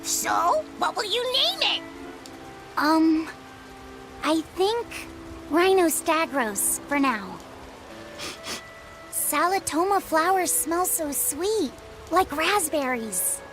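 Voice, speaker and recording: Young boy, gentle, earnest, unhurried speech, game cutscene with music behind. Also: very high voice, sing-song, exaggerated voice. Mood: shy, earnest. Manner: soft, hesitant, polite. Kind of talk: English video game voice acting.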